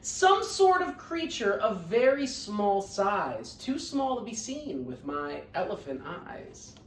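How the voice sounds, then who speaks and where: A woman voicing a kindly, earnest male elephant in a children's storybook, gentle and protective, with emphatic phrasing. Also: mid-range voice, big pitch swings, takes it slowly, slight background noise. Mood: earnest, caring. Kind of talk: children's picture-book read-aloud. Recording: roomy echo, distant microphone.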